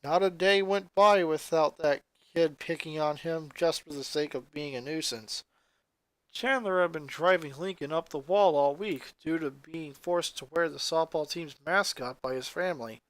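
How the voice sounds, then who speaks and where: An expressive narrator reads a scripted story aloud. The voice is medium in pitch, and the recording is very dry and close. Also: normal speed, no echo, poor audio.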